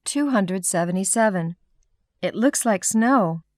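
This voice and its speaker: A woman with an American accent reading numbered practice sentences for an English lesson, clear and measured. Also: medium pitch, animated, no echo, clean studio sound.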